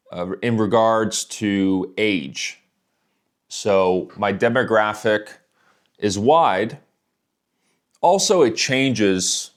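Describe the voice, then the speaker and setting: Very slow, deliberate male English teacher. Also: on the low side. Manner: very slow and deliberate, repeating words for learners. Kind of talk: slow English listening lesson.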